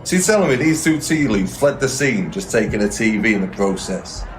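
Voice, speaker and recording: A man's voice: fairly low pitch, animated, brisk, slight background noise, close to an everyday voice. An actor playing a weary, exasperated policeman in a comic audio play. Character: weary, exasperated British policeman questioning a witness. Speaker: actor in an audio comedy drama.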